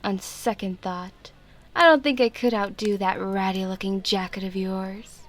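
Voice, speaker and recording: A woman's voice: mid-range voice, fairly even intonation, close to an everyday voice. Woman playing a haughty, taunting vampire. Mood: mocking, threatening. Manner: mocking, drawn-out, sneering. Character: haughty, taunting female vampire gang member. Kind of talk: ASMR audio roleplay.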